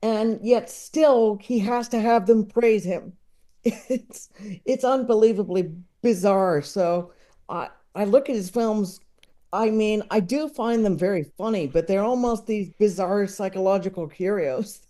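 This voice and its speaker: A man speaking as an interview guest, casually discussing comedy in a halting, chuckle-prone conversational way. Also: sits high, mellow.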